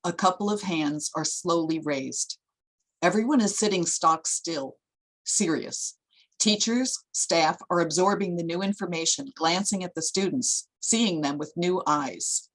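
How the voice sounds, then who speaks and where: A woman's voice: fairly low pitch, unhurried, low-quality recording. A woman narrating stage directions for a screenplay table read in a scripted reading style.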